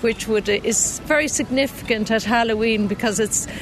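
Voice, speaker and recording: A woman's voice: a little high, normal speed, noisy background. A woman in a street interview, explaining customs in a thoughtful way.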